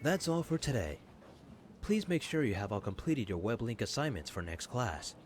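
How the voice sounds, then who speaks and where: A man playing a formal, instructive professor in a video game, lecturing in a measured, articulate way. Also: on the low side, sing-song. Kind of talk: scripted game voice acting, classroom lecture. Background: game music.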